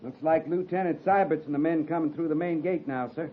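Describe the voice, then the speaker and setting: A man's voice: on the high side, brisk, close to an everyday voice. An American man playing a loyal, grumbling, plain-spoken cavalry sergeant in a 1950s radio drama, folksy and deferential. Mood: respectful, hesitant. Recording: noisy, tinny 1950s radio recording.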